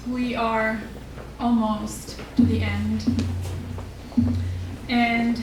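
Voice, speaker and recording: A woman's voice: a little high, level tone, very slow, over music. A woman hosting a stage event, reading a scripted introduction for the next speaker. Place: echoey room, live stage event. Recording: very echoey room, distant mic, noisy.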